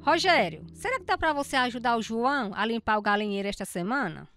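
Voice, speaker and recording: An actress playing an aging, caring farm aunt who speaks in an emotional, pleading way in a radio drama. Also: female voice, fairly high pitch, animated, quick pace, over music. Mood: hurt, pleading.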